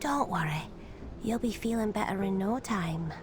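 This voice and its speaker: An actress playing a friendly, curious young temple girl in a game cutscene, with a gentle, naive manner. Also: medium pitch, animated, moderate pace, close to an everyday voice. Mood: friendly, reassuring. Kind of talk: scripted game cutscene dialogue. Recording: clear ambient noise behind the voice.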